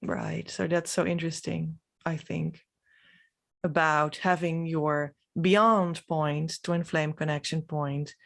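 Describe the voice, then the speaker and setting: Dutch lady vlogging off the cuff, lowish voice, really slow and halting, dutch accent. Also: fairly even intonation, vocal fry, fairly bright tone. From Netherlands. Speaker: spiritual vlogger. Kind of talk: reflective, unscripted monologue to camera.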